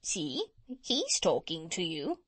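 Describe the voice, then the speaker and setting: A woman voicing a proud, bossy female parrot in a storybook, with a high voice and an insistent, instructive manner. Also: huge pitch swings, slow, a bit bright, husky, no room sound, exaggerated voice.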